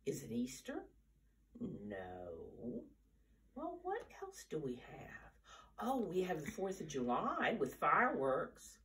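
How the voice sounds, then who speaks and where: An older American woman in her seventies or beyond talks and reads to preschoolers at storytime, in simple, deliberate, teacher-like phrases, recorded from a few steps away in a living room. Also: low-pitched, huge pitch swings, fairly bright tone, far from the mic. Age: 70s or older. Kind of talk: storytime talk and picture-book reading for young children. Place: living room at home, indoors. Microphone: camera a few steps away.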